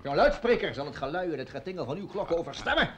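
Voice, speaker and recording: Pedantic, animated village schoolmaster. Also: male voice, on the high side, quick pace. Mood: needling, indignant. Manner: pedantic, grandiloquent, needling. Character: argumentative pedantic male village schoolmaster, progressive and wordy. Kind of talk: radio play acting. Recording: old radio recording, background hiss.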